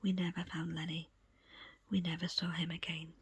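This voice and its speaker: A woman reading a book aloud as ASMR, in a breathy, unvoiced whisper with a slow, hushed storytelling delivery. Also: fairly low pitch, little pitch movement. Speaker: audiobook reader.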